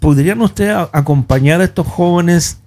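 A man's voice: high-pitched, moderate pace, darkish tone. A man hosting a radio show, interviewing guests conversationally at a studio microphone. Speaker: radio host.

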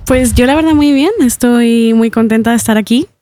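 A woman's voice: fairly high pitch, normal speed, mellow. A young woman from Ecuador who grew up in Spain, talking casually and chattily as a guest in a radio interview. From born in Ecuador, grew up in Spain from age eight. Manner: chatty, giggles often while talking. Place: radio studio, dry close sound.

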